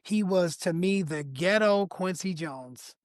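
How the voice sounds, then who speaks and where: A man with a raspy voice, a singer guest on a podcast, telling stories and praising artists with emphatic, effusive energy. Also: sits high, slow, no room sound, studio quality. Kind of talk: casual podcast conversation.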